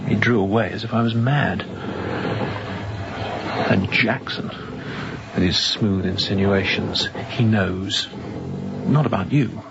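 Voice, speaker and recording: An actor playing a tense, haunted young sea captain in a radio drama, quiet-spoken and sometimes dropping to a whisper. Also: male voice, lowish voice, takes it slowly, gravelly, long pauses, highs cut off, heavy background noise. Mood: anxious, confiding.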